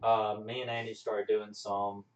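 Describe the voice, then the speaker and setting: A man's voice: on the low side, little pitch movement, measured pace. A man chatting casually as he promotes his channel on a livestream. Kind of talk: casual chat. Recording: muffled, echoey, distant mic.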